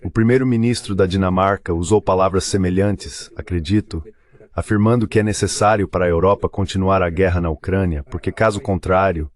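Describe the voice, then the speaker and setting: Deep male voice, Brazilian Portuguese accent, formal, measured, articulate, translated voice-over commentary. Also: fairly even intonation. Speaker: dubbed translation voice of an interview guest.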